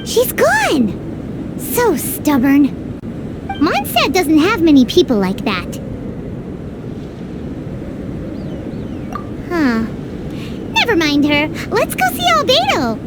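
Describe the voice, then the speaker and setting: A woman voicing a tiny, excitable fairy girl companion in an anime-style video game, with a squeaky, childlike voice. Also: slow, very noisy, exaggerated voice. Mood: miffed. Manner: excitable, exclaiming, speaks of herself in third person.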